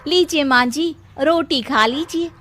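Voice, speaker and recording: A dutiful young village wife acted by a woman from a cartoon story. She speaks respectfully and earnestly, in a high, very bright voice. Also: a little slow. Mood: reassuring. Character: dutiful, determined young village daughter-in-law.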